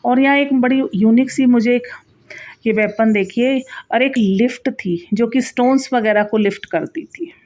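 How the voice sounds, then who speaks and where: A woman's voice: a little high, unhurried, a little mellow. A woman giving a casual, chatty travel vlog voice-over, explaining sights directly to viewers. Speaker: travel vlogger narrating a sightseeing tour. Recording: dull, muffled lo-fi sound.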